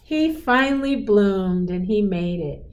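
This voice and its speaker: A woman reading a children's picture book in an expressive, encouraging manner, with chatty asides to young listeners. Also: medium pitch, slow. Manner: encouraging, explains pictures and asks listeners questions; expressive, emphatic storybook delivery. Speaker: school superintendent reading to children.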